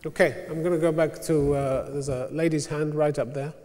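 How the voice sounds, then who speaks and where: A man moderating a televised debate, brisk and directive as he calls on questioners and presses panelists. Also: a little high, fairly even intonation, warm tone. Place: large televised debate hall with audience. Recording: long hall reverb.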